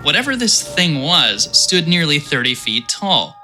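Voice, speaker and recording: A man's voice: on the high side, big pitch swings, unhurried, pushed and bright, faint music behind. A man narrating an audio drama in vivid storytelling style with comic asides.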